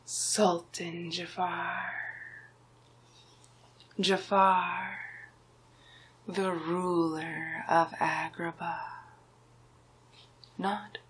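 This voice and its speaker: A smug villain sorcerer played by a woman speaks in a husky, breathy hush for an ASMR roleplay. The pace is extremely slow and deliberate, often slipping into whispers. He gloats and commands like a hypnotist, domineering and sure of his power. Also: on the low side, flat delivery, exaggerated voice. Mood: domineering, hypnotic.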